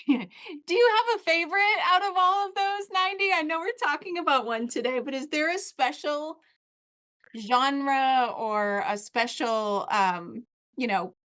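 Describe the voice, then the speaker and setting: A woman's voice: high-pitched, measured pace, fairly bright tone. A woman hosting a children's book podcast, speaking effusively and enthusiastically to her guest. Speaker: podcast host. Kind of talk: casual interview.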